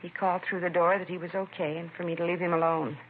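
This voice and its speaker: A woman's voice: fairly low pitch, little pitch movement, fast, natural voice. An actress playing a distraught, protective American mother in her early forties, in old-time radio crime drama style. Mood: upset, subdued. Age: middle-aged. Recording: tinny, hissy vintage radio broadcast.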